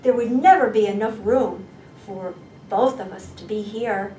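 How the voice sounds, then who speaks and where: A woman's voice: mid-range voice, unhurried, mellow, echoey room, distant mic, a little hiss or hum, close to an everyday voice, rough recording. A woman voicing a politely apologetic little mole in a children's storybook. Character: apologetic mole with too many books.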